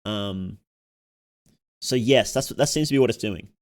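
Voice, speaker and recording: A man's voice: on the low side, a little slow. A man giving casual livestream commentary, thinking aloud as he goes, musing and questioning himself. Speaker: streamer reacting to horror videos.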